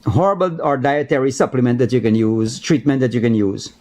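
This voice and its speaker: A man's voice: medium pitch, a little mellow. Male talk show host asking questions. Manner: brief agreeing interjections, brisk questions.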